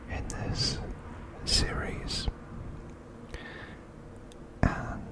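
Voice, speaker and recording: A man hosting an ASMR video, speaking in a hushed, deliberate whisper. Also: extremely high pitch, low-quality recording. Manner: hushed, slow, deliberate delivery.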